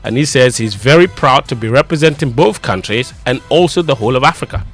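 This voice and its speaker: A young man presenting sports news on a morning radio show, excitable and teasing in studio banter. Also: mid-range voice, fast talker, loud music behind the voice. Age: young adult.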